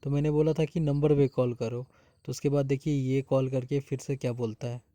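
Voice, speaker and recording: A man's voice: mid-range voice, little pitch movement, fairly quick, dark tone, no echo. An Indian man explaining informally to his viewers, speaking earnestly and repeating his points as a vlogger. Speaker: vlogger exposing a scam.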